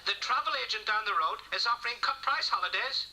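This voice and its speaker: A woman acting as a patient in a comedy sketch, speaking slowly and plainly and mishearing the doctor. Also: a little high, lively intonation, pushed and bright, slight background noise, low-quality recording. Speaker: sketch actor playing a patient.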